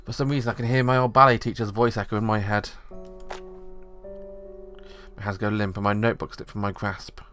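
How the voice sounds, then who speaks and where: A man's voice: on the low side, flat delivery, a little fast, pauses often, fairly bright tone, soft background music. A man reading the narration of a visual novel aloud, steadily, with small slips.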